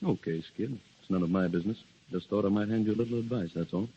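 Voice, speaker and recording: An actor playing a firm, paternal, plain-spoken theatre manager in a 1930s radio drama. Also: male voice, fairly low pitch, fast, dark tone, breathy edge. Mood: resigned. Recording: muffled, worn 1930s radio broadcast.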